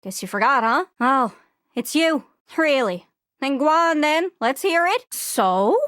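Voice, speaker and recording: High-pitched talking globe, video game character, very animated, excitable, chatty, folksy, impatient, dry close recording. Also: female voice, measured pace, no echo. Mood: hurt, sulky.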